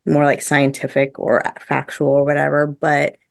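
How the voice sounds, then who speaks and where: Lady podcaster w low voice, dry close sound, chatty, says like a ton, lots of opinions. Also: monotone, normal speed, creaky, no echo. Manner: chatty, heavy use of "like", opinionated. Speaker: podcast host. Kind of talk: casual review chat among co-hosts.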